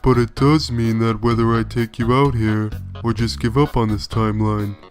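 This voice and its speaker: A man voicing a laid-back wisecracking skeleton in a comic dub, casual and deadpan with a quiet threat. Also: medium pitch, moderate pace, lightly acted. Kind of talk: fan comic dub acting. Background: faint music.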